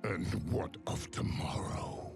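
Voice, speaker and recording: A man playing a furious, brutal undead giant warlord in a video game, guttural and growling, slow and menacing, tormented and hungry for battle. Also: close to an everyday voice. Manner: slow, menacing, drawn-out delivery with roars. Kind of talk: video game character voice lines. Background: music.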